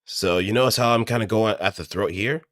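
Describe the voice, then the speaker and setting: A man talking casually and off the cuff as he explains voice acting, thinking aloud in an animated, demonstrative way. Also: fairly low pitch, fairly quick, no echo, clean studio sound. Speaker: amateur voice actor. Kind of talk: casual explanatory talk, improvised voice acting tutorial. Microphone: close studio microphone through audio interface.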